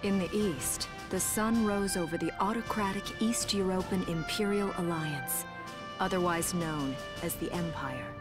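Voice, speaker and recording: A woman reading scripted dramatic narration for a video game in a formal, measured way. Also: on the low side. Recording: loud orchestral music behind.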